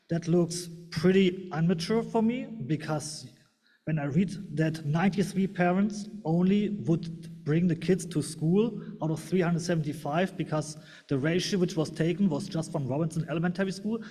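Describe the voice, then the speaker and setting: A man's voice: fairly high pitch, warm tone. A man with a German accent, a German native speaker, earnestly giving a public comment at a board meeting in slightly non-native phrasing. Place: large meeting hall. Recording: long hall reverb.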